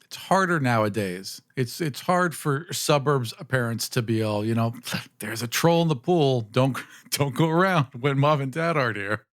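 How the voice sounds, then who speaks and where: A man's voice: medium pitch, expressive, normal speed, hardly any pauses. A man hosting a podcast, talking casually as he asks questions and muses along in discussion. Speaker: podcast host.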